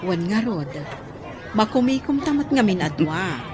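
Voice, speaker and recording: A woman's voice: mid-range voice, big pitch swings, measured pace, darkish tone. A woman with an Ilocano accent acting a busy middle-aged acquaintance in a radio drama. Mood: friendly, flustered. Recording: busy crowd noise behind.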